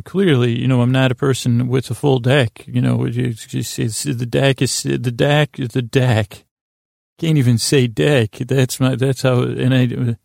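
A man hosting a sleep podcast with a rambling monologue, halting and stammering through false starts in a self-deprecating, meandering way. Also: mid-range voice, creaky, a little mellow, no echo, clean studio sound. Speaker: podcast host.

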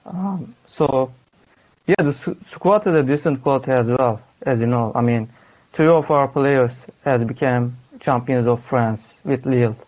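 A man, a football fan guest, giving a measured, subdued, detailed analysis of his team's poor games. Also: mid-range voice, a little mellow. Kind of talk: analytical casual chat.

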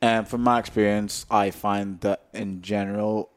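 A young man with a slightly low voice, hosting a casual podcast chat. He rambles, restarts his sentences and keeps things joking and loose. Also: little pitch movement, measured pace. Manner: rambling, self-interrupting, joking. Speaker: podcast host.

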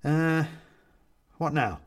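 Man playing Benedict Nail, a high, theatrical gentleman. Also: very slow. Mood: triumphant, then unsure. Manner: grandiloquent, faltering, excitable. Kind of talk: storybook character reading.

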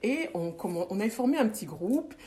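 A woman's voice: medium pitch, sing-song, brisk, mellow. A woman in her forties with a French accent, a guest in a casual interview, who talks with many hesitations and repeated words. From France. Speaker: guest, ritual practitioner and former nurse.

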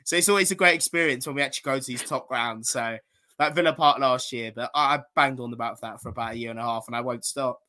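A man's voice: mid-range voice, quick pace, pushed and bright. A man co-hosting a casual football podcast, chatty and self-mocking. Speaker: podcast co-host.

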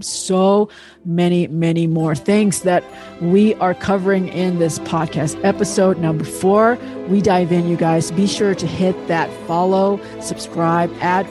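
Podcast host, enthusiastic, upbeat intro, lots of fillers, middle pitch, music running underneath. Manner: enthusiastic, hypes guests with frequent fillers. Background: background music.

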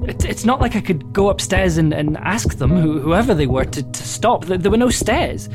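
An actor playing an exhausted, anxious restaurant worker in an audio drama monologue, with a hesitant, halting delivery that repeats words. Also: mid-range voice, lively intonation, close to an everyday voice. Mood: anxious, bewildered. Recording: music behind the voice.

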